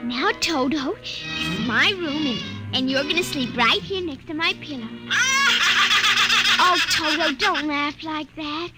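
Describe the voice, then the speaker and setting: A woman playing an excitable, headstrong little girl in an old-time radio drama, childish, pleading and bossy. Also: high voice, unhurried, over loud music. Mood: bossy, playful. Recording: noisy old radio recording.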